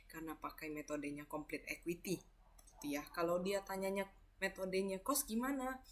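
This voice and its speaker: Young woman, Indonesian accent, lowish pitch, breathy husky tone, step-by-step tutorial, conversational, self-answered questions. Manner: conversational, asks and answers own questions, frequent tag words. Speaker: accounting tutor.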